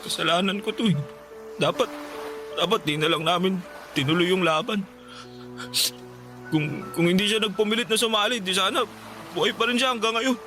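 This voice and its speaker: A man's voice: high-pitched, normal speed, long pauses, over music. An actor playing a sorrowful young male friend in an emotional radio drama, with a Filipino accent. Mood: self-blaming.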